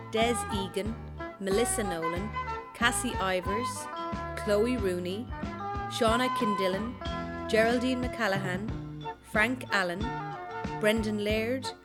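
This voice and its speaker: A woman reading a scripted announcement of radio play credits. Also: mid-range voice. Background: prominent music.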